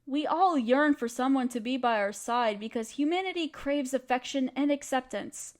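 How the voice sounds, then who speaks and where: A woman speaks in a notably high voice, addressing the listener directly as a supportive friend would. Her delivery is a scripted comfort monologue, full of reassurance and encouragement. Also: normal speed.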